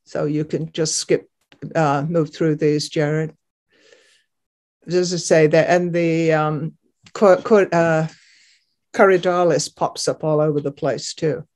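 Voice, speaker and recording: An older woman gives an informal tour narration in an unhurried, slow voice. She sounds chatty and a little hesitant, as if talking through slides. She is indoors on a computer microphone in a video call. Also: low voice, fairly even intonation, darkish tone. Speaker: gardener giving a garden tour.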